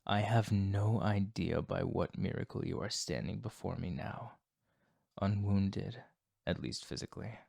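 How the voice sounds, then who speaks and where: A man acts out a tormented vampire holding back his bloodlust in an intimate ASMR roleplay. His voice is low and gravelly, and he speaks very slowly. His words are formal, courteous and apologetic, delivered softly. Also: dry recording, studio quality, full character voice. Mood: weary, protective.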